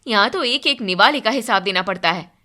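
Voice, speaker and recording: Woman acting bright, indignant young daughter-in-law. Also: medium pitch, animated, brisk. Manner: complaining, demanding.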